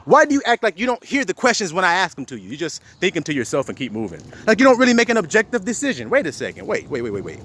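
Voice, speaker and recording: A man's voice: high-pitched, huge pitch swings, rapid-fire, hardly any pauses, a bit bright, a little hiss or hum. A man delivering a profane, confrontational rant as a self-styled preacher, quoting scripture and firing rhetorical questions at his listeners. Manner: confrontational, fires rhetorical questions, heavy swearing.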